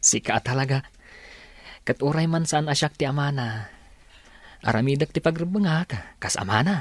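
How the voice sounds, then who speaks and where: A man playing an earnest young man in love in a radio drama, with a tender, slightly husky voice. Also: mid-range voice, lively intonation, moderate pace, no echo. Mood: sincere.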